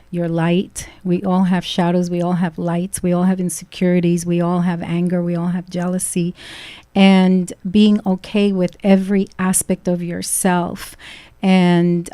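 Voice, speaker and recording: A woman's voice: on the low side, flat delivery, a little slow, mellow, clear, resonant tone, no room sound. A middle-aged woman from Ecuador with a Brooklyn accent, a radio show guest talking reflectively and repeating phrases for emphasis. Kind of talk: casual chat. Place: radio studio.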